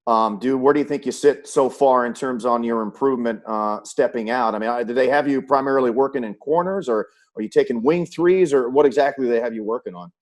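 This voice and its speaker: A man's voice: medium pitch, a little fast, few pauses, a little mellow. A middle-aged man, a sports announcer, hosting a conversational interview and rephrasing his questions as he goes.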